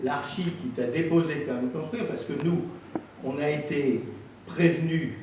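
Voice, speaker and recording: Man, council president, fairly high voice, insistent and deliberate explanation, very reverberant room. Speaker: president of an intercommunal council answering questions. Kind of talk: spontaneous explanation at a public council meeting. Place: indoor council meeting room. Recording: very echoey room, distant mic, faint noise.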